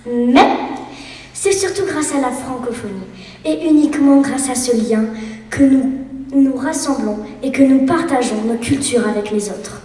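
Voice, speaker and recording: Girl, eleven, high mellow voice, earnest measured speech, distant in a roomy school hall. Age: child of 11. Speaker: student speaker in a speech contest. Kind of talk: recited contest speech. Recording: echoey hall, voice from far off.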